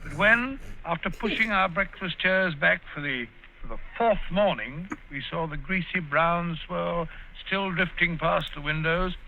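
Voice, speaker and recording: A man's voice: on the high side, a little slow, gravelly, bright, forceful tone, lo-fi sound, a little hiss or hum, exaggerated voice. An actor playing a genial, fussy older male doctor in a 1940s radio drama, with a bumbling, chuckling manner.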